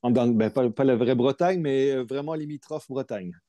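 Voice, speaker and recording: A man's voice: medium pitch, moderate pace, mellow, no room sound. A middle-aged man from Montreal, chatting as a guest musician, hesitant with many fillers and restarts. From Montreal, Canada. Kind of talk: casual interview chat.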